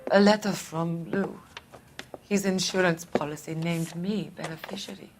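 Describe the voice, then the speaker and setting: A woman's voice: on the low side, fairly even intonation, normal speed, music in the background, close to an everyday voice. An actress playing a proud, wary, grieving woman in a 1940s crime drama game, restrained and questioning. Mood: subdued grief. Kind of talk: dramatic video game acting.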